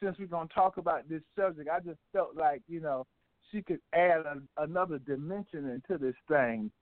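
Easygoing high-voiced man on a phone line. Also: normal speed, no room sound. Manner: joking, soothing, easygoing. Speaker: co-host and minister. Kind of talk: casual gospel radio banter. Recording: dry tinny phone-line audio.

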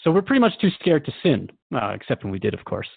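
A man's voice: medium pitch, wildly swooping pitch, fast talker, gravelly, husky, phone-quality sound, full character voice. A man playing a curious, wry radio host who questions his guest conversationally in an audio drama. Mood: thoughtful, hesitant. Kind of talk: scripted radio drama interview.

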